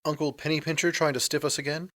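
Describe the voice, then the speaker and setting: A man's voice: fairly high pitch, flat delivery, quick pace, sharp tone, dry recording. A man playing a snide vampire sidekick in a comedic audio drama, with a dry, cutting, sarcastic delivery. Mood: dryly mocking. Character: snide, sardonic male vampire monster sidekick.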